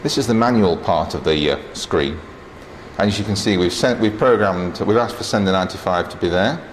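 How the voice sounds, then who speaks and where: A man's voice: sits low, a little fast, low-quality recording. A man giving a casual technical tour as an engineer, explaining equipment as he operates it in a plain-spoken, matter-of-fact way with dry asides. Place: indoors in a transmitter hall. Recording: clear machinery and air-cooling noise.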